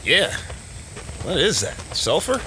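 A man's voice: on the high side, huge pitch swings, slow pace, full character voice. A man acting a talkative, jittery small-town deputy in an audio drama, folksy, wisecracking and full of complaints. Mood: startled, uneasy. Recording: clearly noisy outdoor scene ambience.

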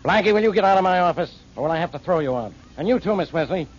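An actor playing a brusque, dismissive police inspector in a 1940s radio drama. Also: male voice, high-pitched, animated, rapid-fire. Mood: irritated. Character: gruff, impatient police inspector. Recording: muffled old radio broadcast, faint hiss.

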